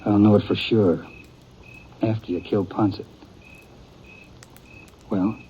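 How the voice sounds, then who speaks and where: An actor playing a cold, calculating outlaw in a 1950s radio western, hushed and terse, with an American accent. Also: male voice, fairly low pitch, slow. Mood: cold, menacing. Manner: hushed, terse, flatly menacing. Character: cold, calculating outlaw killer, a younger brother. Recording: muffled, noisy 1950s radio recording.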